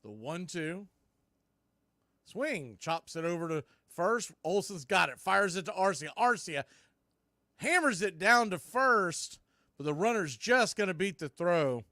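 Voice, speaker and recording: A man calling live baseball play-by-play in punchy short phrases, getting excited on big plays. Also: high-pitched, unhurried, dry recording, studio quality.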